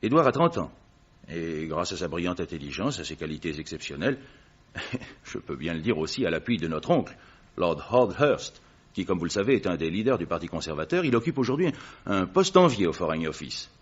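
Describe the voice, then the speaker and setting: A man's voice: low-pitched, very animated, brisk, husky, heavily acted. An actor playing an anxious man who earnestly pleads for help for his brother in a vintage radio drama. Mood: pleading. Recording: dull old radio recording.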